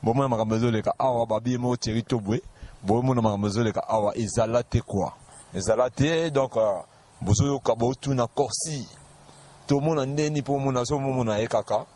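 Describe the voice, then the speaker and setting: A man's voice: medium pitch, normal speed, dark tone, slight background noise. A man with a Congolese accent chatting casually as an interviewer.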